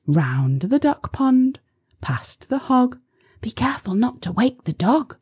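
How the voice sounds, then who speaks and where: A sneaky crook from a children's storybook, acted out by a woman, plotting in a hushed, furtive way. The voice is very breathy and husky, with a conspiratorial edge. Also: medium pitch, very animated, slow, voice pushed up, heavily acted. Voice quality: hushed, whispery. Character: two sneaky male cattle thieves plotting in whispers.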